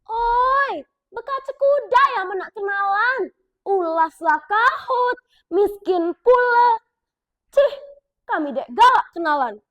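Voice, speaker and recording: A female storyteller voicing a haughty young princess who speaks with a South Sumatran Malay accent and mocks loudly. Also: very high voice, lively intonation, takes it slowly, lo-fi sound, pitched above the performer's own voice, full character voice. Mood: scornful. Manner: sneering, loud, mocking.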